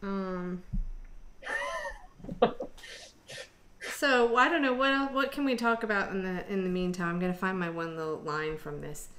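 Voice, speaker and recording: A woman's voice: mid-range voice, measured pace, long pauses, bright, forceful tone. A middle-aged woman hosting a casual online group discussion, talking in a rambling, scattered way. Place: indoor room on an online video call. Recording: roomy echo, background noise.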